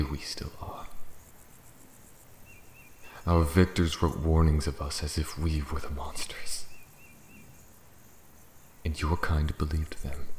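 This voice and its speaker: Man voicing a very deep, brooding dragon. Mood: bitter, menacing. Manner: brooding, scornful, theatrical, with hushed breathy dips. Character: proud, bitter male dragon, last of an ancient race. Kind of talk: fantasy ASMR roleplay monologue. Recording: roomy echo, faint background noise.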